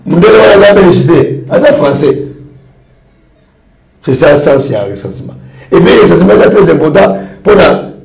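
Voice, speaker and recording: A man's voice: fairly high pitch, lively intonation, moderate pace, vocal fry, long pauses, a little mellow. Congolese man, echoey room, rambling. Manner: rambling, repetitive phrasing. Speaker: political party leader. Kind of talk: interview answers mixing French and Lingala.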